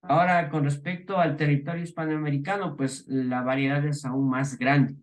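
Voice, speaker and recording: A middle-aged man with an Ecuadorian highland accent lectures a class. His voice sits somewhat high for a man. He explains patiently, restarting phrases, filling pauses and posing rhetorical questions to his students. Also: little pitch movement. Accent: Ecuadorian highland Spanish. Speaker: teacher.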